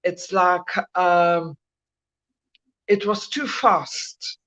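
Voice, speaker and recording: A woman with a mixed South African and Italian accent, a guest on a video call, telling a story slowly with many hesitations. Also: on the low side, steady tone, a bit bright. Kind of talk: casual chat, recounting a dream experience. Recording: muffled bad connection.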